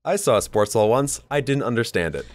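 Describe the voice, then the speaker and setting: A man's voice: medium pitch, animated, brisk, no echo. A man co-hosting a podcast, joking casually in a wry, sarcastic and sweary way. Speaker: podcast co-host.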